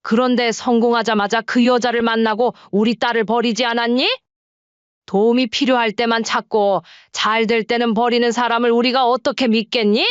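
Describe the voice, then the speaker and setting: A woman's voice: fairly high pitch, flat delivery, moderate pace, smooth, no room sound, muffled recording, close to an everyday voice. A woman voicing a resolute middle-aged mother-in-law who speaks firmly and bluntly. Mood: cold. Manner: firm, blunt.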